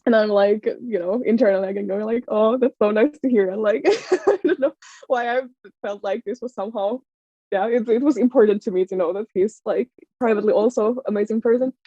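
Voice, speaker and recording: Finnish woman guest, excited, lots of likes and restarts, highish mellow voice, dry dull audio. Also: a little fast, no room sound. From Finland. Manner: excitable, laughs often, many fillers and restarts. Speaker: podcast guest. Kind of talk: casual storytelling chat. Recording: slightly dull lo-fi sound.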